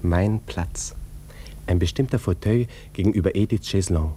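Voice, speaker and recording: An actor playing a sensitive young lieutenant in a German radio drama, courteous and reflective, with literary phrasing. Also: male voice, deep voice, moderate pace, warm tone, a little hiss or hum, lightly acted. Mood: reflective, tender. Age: young adult. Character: sensitive young cavalry lieutenant recalling his past. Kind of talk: German radio drama, first-person narration.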